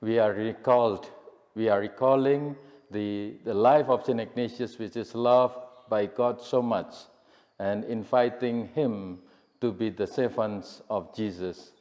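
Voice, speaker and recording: Slow, dark-voiced man in reverb. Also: lowish voice, steady tone. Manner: slow, measured, with restarts. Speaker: introducer of the testimonies. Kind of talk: formal spoken introduction. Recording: long reverb in a large room.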